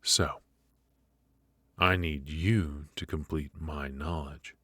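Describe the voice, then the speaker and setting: A man playing a teasing, menacing mafia boss in an ASMR roleplay, speaking in a halting, mock-polite, softly taunting way. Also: rumbling low, steady tone, no echo, exaggerated voice. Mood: probing, amused.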